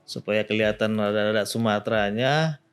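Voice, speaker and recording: Calm, lower-voiced middle-aged man. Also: moderate pace, clear, resonant tone. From Jakarta. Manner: calm, modest, brief answers. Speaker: company director, guest. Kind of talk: casual interview answers.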